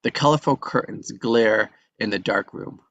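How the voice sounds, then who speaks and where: Male reader for stage directions, low-pitched, measured delivery. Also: gravelly, fairly bright tone, highs cut off.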